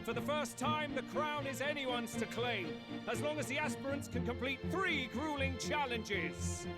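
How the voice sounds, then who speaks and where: Loud music plays behind a very high male voice. a flamboyant master of ceremonies in a fantasy game, announcing a royal tournament with grandiose, theatrical showmanship. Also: a little slow. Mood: grand showmanship. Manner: showy, grandiose.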